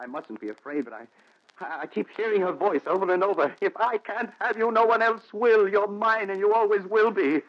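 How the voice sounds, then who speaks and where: An actor playing a haunted, fearful man in a 1940s radio thriller, with an intense, halting, dramatic delivery. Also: sits high. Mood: frantic. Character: haunted, fearful man confessing terrors to his doctor. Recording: muffled, noisy 1940s radio recording.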